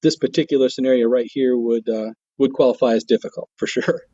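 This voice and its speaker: A man's voice: medium pitch, fairly even intonation, brisk, mellow, no room sound, highs cut off. A man speaking as an interview guest, an air traffic control manager explaining his work in an earnest, folksy, conversational way.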